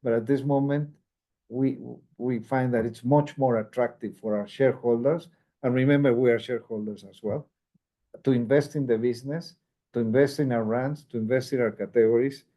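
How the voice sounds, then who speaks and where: A man speaking as a company executive, answering questions on an earnings call in a measured, explanatory way and repeating phrases for emphasis. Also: mid-range voice, fairly even intonation, dark tone.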